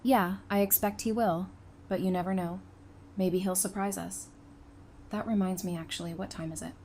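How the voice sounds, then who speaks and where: A woman's voice: medium pitch, steady tone, normal speed, darkish tone, slight background noise, close to an everyday voice. A woman playing a practical, easygoing survivor in an audio drama, speaking in a matter-of-fact, conversational way.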